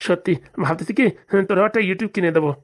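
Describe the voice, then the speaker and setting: A woman's voice: medium pitch, quick pace, lightly acted. A woman voicing a simple, good-natured village uncle who loves food, artless and rustic in a comic cartoon. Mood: delighted.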